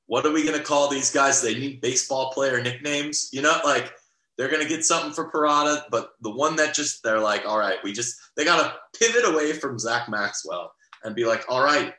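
Man, young adult, casual rambling talk, bright forceful voice, roomy sound. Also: medium pitch, brisk. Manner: rambling, lots of restarts and fillers. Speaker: podcast co-host. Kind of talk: casual sports podcast chat.

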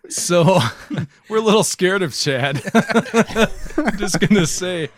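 A man's voice: high-pitched, lively intonation, takes it slowly. A man co-hosting a podcast in casual chat, joking and often laughing as he talks. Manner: jokey, laughs often while talking. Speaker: podcast co-host.

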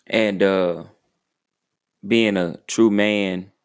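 A young man co-hosting a podcast, talking in a laid-back, measured way and often trailing off quietly. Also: lowish voice, fairly even intonation, clean tone. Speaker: podcast co-host. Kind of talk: casual chat.

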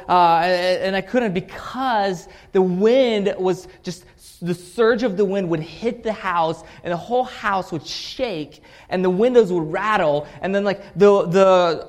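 Preacher, man in his 30s, from Russia, rambling anecdotal delivery, fairly high voice. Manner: halting storytelling with many fillers and restarts. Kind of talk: scripture reading then personal story sermon.

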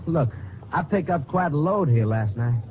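An actor playing an easygoing, folksy bus driver who talks in an offhand way in a 1950s radio drama. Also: male voice, fairly high pitch, lively intonation, a little slow. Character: easygoing, talkative male bus driver, casually unbothered. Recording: tinny, noisy old radio recording.

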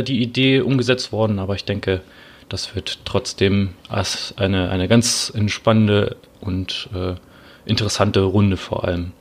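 A young man in his twenties hosts a relaxed podcast chat, his voice sitting a little low. He often pauses and fills the gaps with small hesitation sounds as he searches for words. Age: 20s. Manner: hesitant, many filler sounds. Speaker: podcast host. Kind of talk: casual podcast conversation.

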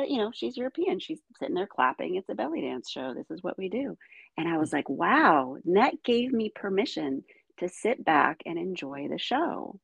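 A middle-aged woman, first raised speaking Ukrainian and from the United States, telling her life story as a guest in animated conversation. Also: lowish voice, creaky, husky, no room sound, dull audio. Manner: animated storytelling, frequent "you know". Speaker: guest, dancer.

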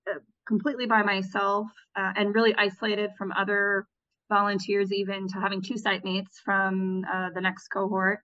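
A woman in her thirties answering interview questions about her volunteer years, thoughtful, pausing and restarting often. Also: medium pitch, vocal fry, fairly bright tone, dull audio. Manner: reflective, with frequent restarts and fillers. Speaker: interview guest, former Peace Corps volunteer.

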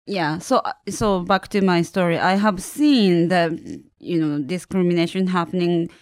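Woman, japanese accent, slightly low voice, hesitant, choosing words carefully, dry sound. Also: a little slow, a little mellow, dry recording. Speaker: podcast guest. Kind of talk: casual conversation.

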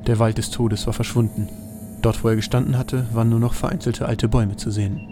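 A man's voice: medium pitch, little pitch movement, fairly quick, noisy background. A man narrating a scripted comic fantasy audio drama as its storyteller.

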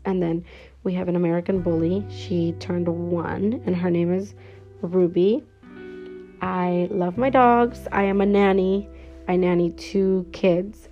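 A woman in her twenties talking casually and cheerfully on her own podcast, rambling with fillers. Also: fairly low pitch. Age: 20s. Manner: chatty and upbeat, rambling with fillers. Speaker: podcast host. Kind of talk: casual solo podcast intro. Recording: slightly dull lo-fi sound with faint guitar music.

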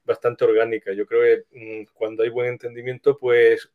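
A man's voice: mid-range voice, little pitch movement, moderate pace. Tinny-sounding, hesitant Spanish man. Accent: Spanish from Spain. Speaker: scriptwriter guest being interviewed. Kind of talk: casual interview talk. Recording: tinny, phone-like line.

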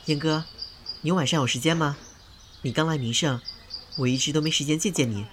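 A man's voice: a little high, brisk, noisy background, natural voice. A man playing a polite, ingratiating young newcomer actor in an audio drama, speaking in a deferential way. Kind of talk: modern radio drama acting.

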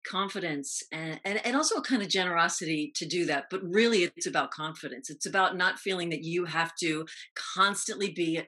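A woman from New York with an American accent, speaking reflectively as an interview guest in quick, clipped phrases. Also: lowish voice, bright, forceful tone, husky. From New York, United States. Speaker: guest, actress recalling TV roles.

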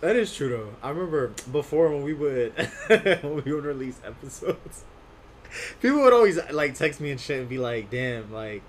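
A young man with a deep voice whose pitch moves a lot as he talks. He chats in a relaxed way, picks up speed in bursts, and laughs often. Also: normal speed, a little hiss or hum. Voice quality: deeper voice than his co-host. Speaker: podcast co-host. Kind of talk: casual chat.

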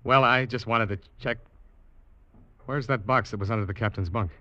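A man's voice: fairly low pitch, normal speed, no room sound. An actor playing an uneasy ship's officer who tells his own suspense tale in old-time radio style. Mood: anxious. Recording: muffled old radio recording, loud music.

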